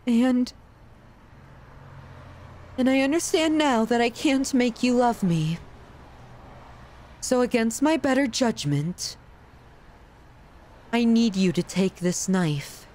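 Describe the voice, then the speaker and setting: A woman performs as a possessive yandere girlfriend in an intimate audio drama. Her voice sits fairly high and moves very slowly, with halting pauses. She speaks straight to the listener, tender and desperate with menace underneath. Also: expressive, a little mellow, slight background noise. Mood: sombre, resigned. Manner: slow, halting, pleading, intimate delivery. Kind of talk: dramatic ASMR roleplay addressed to the listener.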